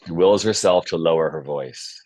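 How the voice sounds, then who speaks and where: A man's voice: very deep voice, normal speed, darkish tone, a little hiss or hum. A man narrating stage directions at a script table read.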